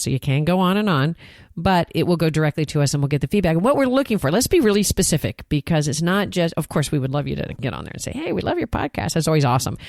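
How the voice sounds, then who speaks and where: Texan woman, low voice, dry close mic, earnest podcast co-host who laughs easily. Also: a little mellow, no echo. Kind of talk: casual conversational podcast chat.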